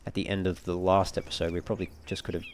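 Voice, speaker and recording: Male let's player, low-pitched, talking casually through a game and reasoning aloud as he goes. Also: little pitch movement, fast talker, slight background noise. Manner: thinks aloud while reading menus. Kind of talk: casual gameplay walkthrough commentary.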